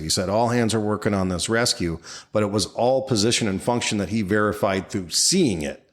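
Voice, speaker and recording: A man co-hosting a podcast with conversational, instructional commentary, restating his points for emphasis. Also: fairly low pitch. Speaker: podcast co-host.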